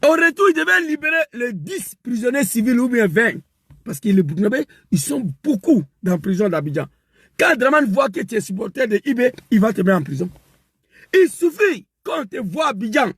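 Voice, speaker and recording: Man, gravelly, dry close sound, fiery political rant. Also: very high voice, sing-song, a little fast, no echo. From West Africa. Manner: emphatic, repetitive, rising to shouts. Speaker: political commentator.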